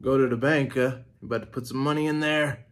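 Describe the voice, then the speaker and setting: A man's voice: medium pitch, steady tone, fast talker, slight background noise. A man in his thirties hosting a reaction video, critiquing and coaching with a mocking tone, recorded indoors on a couch. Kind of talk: blunt critiquing commentary.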